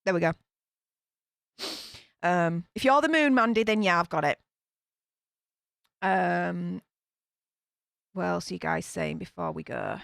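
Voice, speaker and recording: A woman's voice: fairly low pitch, takes it slowly, vocal fry, pauses often, a bit bright, no room sound, studio quality. A young woman with a Yorkshire accent, chatting casually on a live stream as she reads viewers' comments aloud and laughs often. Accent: Yorkshire English. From Yorkshire, England. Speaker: YouTube streamer reading live chat.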